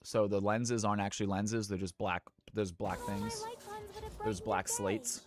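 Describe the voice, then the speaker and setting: A man hosting viewer games on a live stream, chatting casually and thanking viewers, with sudden loud hype-announcer bursts. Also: fairly low pitch, expressive, unhurried. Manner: hype announcer bursts between easygoing chat.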